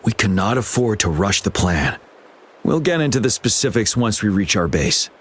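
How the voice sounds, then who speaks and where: A man's voice: fairly low pitch, lively intonation, normal speed, slight background noise, lightly acted. A man playing a stern, resolute military general in a game, speaking in a grave, formal, commanding manner. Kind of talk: scripted game dialogue. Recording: background music.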